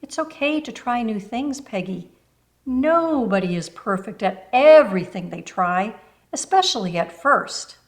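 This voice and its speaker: Woman voicing a kindly, animated storybook neighbor lady. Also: fairly high pitch, a little slow. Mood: gently reassuring. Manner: encouraging, folksy, calls listener honey. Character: kind, chuckling, warm-hearted older neighbor lady. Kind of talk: children's storybook read-aloud.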